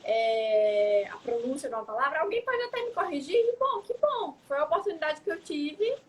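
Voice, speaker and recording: A woman's voice: high voice, sing-song, moderate pace. A young adult woman, a psychologist, talking in a casual interview, reflective and often adding tag questions. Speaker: psychologist guest.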